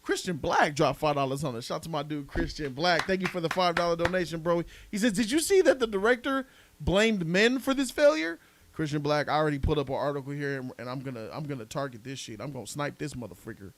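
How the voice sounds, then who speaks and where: A man's voice: fairly high pitch, lively intonation, fast, noisy background. A man hosting a casual live podcast, debating movies in an emphatic, argumentative, slangy way with some swearing. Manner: emphatic, argumentative, repeats points, slangy and profane. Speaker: podcast host reading donor messages.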